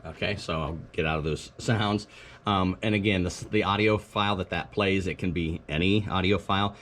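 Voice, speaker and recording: Low-pitched male presenter, matter-of-fact informal demo narration, light background noise. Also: steady tone, normal speed. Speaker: school technology staff presenter. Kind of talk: informal product demonstration narration.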